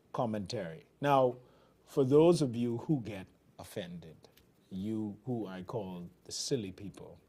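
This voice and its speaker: A man's voice: on the low side, a little mellow. A man from the Bahamas with a Bahamian accent, hosting a TV commentary show and delivering fiery, emphatic political commentary in a preaching cadence. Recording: television broadcast.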